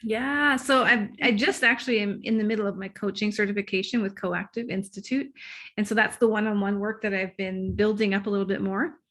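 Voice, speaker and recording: A woman relationship coach chatting as an interview guest, her voice sitting at an ordinary middle pitch. She gets excited and laughs easily. She sometimes loses her thread mid-answer. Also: brisk. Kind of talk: casual interview talk.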